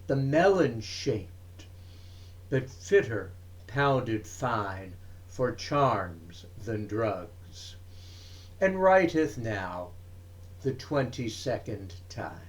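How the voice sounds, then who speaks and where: Very slow, deliberate man reading poetry. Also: mid-range voice, lively intonation. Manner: measured, deliberate phrasing with pauses. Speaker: reader of poetry.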